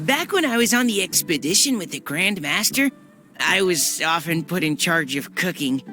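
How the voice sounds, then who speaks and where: Woman acting an earnest, animated young adventurer. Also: lowish voice, normal speed, over music, close to an everyday voice. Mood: reflective. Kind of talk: video game cutscene acting.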